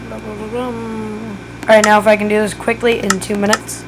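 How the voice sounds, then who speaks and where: A woman walks through a game build in a relaxed, think-aloud way, her voice worn and going hoarse. She speaks in an even, level tone with little rise and fall. A steady noisy hiss sits under the recording. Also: medium pitch, moderate pace. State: losing her voice. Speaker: gaming tutorial creator. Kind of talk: casual step-by-step game tutorial.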